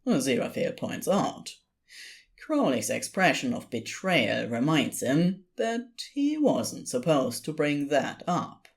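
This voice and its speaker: Slow, expressive story narrator. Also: medium pitch.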